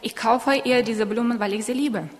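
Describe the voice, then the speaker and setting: A woman with a fairly high voice interprets a sermon live, one phrase at a time. Her delivery is a little halting, with small self-corrections, and the church hall adds long reverb.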